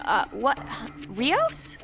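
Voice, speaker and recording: A woman playing a cool, businesslike mission handler in a video game, speaking in a crisp, matter-of-fact way. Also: a little high, wildly swooping pitch, very slow, over music, exaggerated voice. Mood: surprised.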